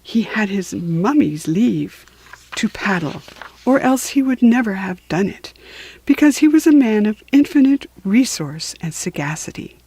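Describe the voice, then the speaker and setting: A woman reads a children's story at a slow, deliberate pace. Her pitch swoops expressively for theatrical emphasis, and the recording is close and dry. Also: animated, dark tone, slightly rough, no echo.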